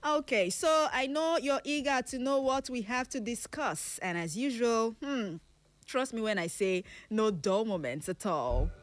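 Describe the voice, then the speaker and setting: A woman's voice: on the high side, animated, measured pace. A Nigerian woman hosting a radio health show, chatty and jokey, mixing casual banter with news updates. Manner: chatty, jokey, self-praising. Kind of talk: lively radio talk and news reading. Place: radio studio.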